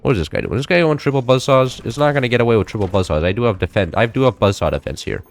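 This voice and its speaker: Teen dude rambling over gameplay, kinda high voice, lots of likes and restarts. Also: male voice, racing, no room sound. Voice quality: slightly high-pitched for a man. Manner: rambling, many fillers and restarts. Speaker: gameplay streamer. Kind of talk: casual chat while playing.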